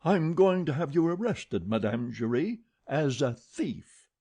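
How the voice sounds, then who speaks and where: A man's voice: on the high side, very animated, slow pace, mellow, pitched above the performer's own voice, heavily acted. A man voicing a hot-tempered theatre manager who blusters and accuses, in a storybook reading. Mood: stern.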